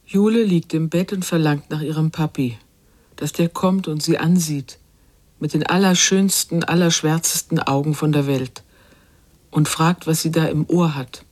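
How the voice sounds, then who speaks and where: A woman playing the narrator of a literary radio drama, telling a little girl's story in measured phrases. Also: sits low, a little mellow. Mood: tender. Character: female narrator recounting a little girl's longings.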